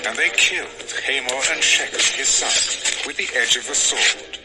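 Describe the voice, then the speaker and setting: A man's voice: mid-range voice, very animated, normal speed, loud music behind the voice, low-quality recording. A man narrating a dramatized scripture story from a script.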